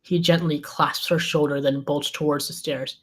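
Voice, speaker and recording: A woman's voice: sits low, fairly even intonation, moderate pace. A woman reading a script's scene descriptions aloud as narrator at a table read.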